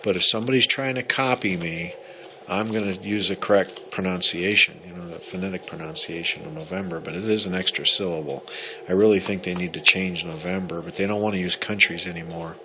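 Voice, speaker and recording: A man's voice: sits low, fairly even intonation, a little fast, pushed and bright. A man chatting casually as an amateur radio operator, explaining things and rambling as he thinks aloud. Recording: clearly noisy recording with ambient sound.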